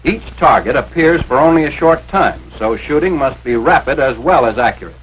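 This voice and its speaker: A man's voice: medium pitch, lively intonation, normal speed, fairly bright tone, breathy edge. An American man delivering authoritative, instructional 1940s military training film narration in formal, clipped phrasing. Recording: noisy vintage 1940s film soundtrack.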